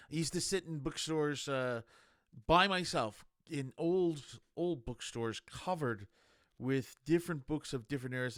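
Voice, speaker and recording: A man's voice: mid-range voice, fairly bright tone, no echo. Man reading a novel aloud, dry sound. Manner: meandering, halting, conversational. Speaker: amateur audiobook reader. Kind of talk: casual chat, then reading a novel aloud.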